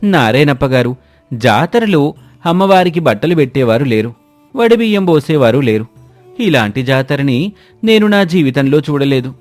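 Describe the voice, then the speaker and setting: A man's voice: medium pitch, very animated, normal speed, faint music behind, lightly acted. A man playing a solemn, devout villager who gives worried warnings in a dramatised moral story.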